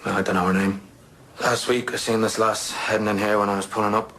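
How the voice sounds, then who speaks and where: A man's voice: lowish voice, little pitch movement, brisk, fairly bright tone, lightly acted. An actor playing a big, sheepish young working-class British man who answers hesitantly and defensively in an audio drama. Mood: uneasy, hesitant. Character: hulking but sheepish young working-class nephew, anxious under questioning.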